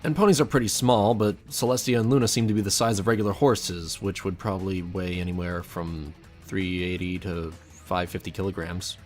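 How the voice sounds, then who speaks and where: A man's voice: sits low, steady tone, moderate pace, hardly any pauses, natural voice. A man voicing a wisecracking, show-off pony sidekick with a casual, joking manner in scripted educational comedy. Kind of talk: scripted comic educational dialogue. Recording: music behind the voice.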